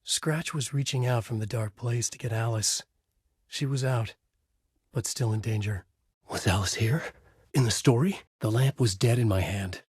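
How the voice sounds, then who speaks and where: A haunted writer from a horror video game, husky and close, with a very dry sound. He is brooding and grave, delivering his words deliberately like noir narration. His pitch sits in an ordinary male middle range. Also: a little mellow, no room sound. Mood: brooding, ominous.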